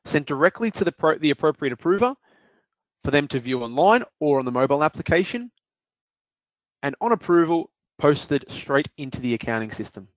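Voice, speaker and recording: A man presenting and walking through a software demonstration in a steady explanatory way, with occasional fillers. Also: fairly high pitch, a little fast, no room sound. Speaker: product presenter. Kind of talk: software demo walkthrough. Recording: dull dry lo-fi audio.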